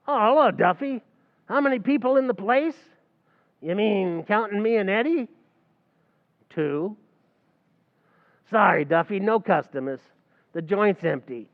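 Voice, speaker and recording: A man's voice: high voice, expressive, measured pace, pauses often. A man playing a brash, scheming tavern manager in an old-time radio comedy recreation, wisecracking and mangling big words. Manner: wisecracking, malaprop-prone, brash. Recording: tinny, phone-like recording.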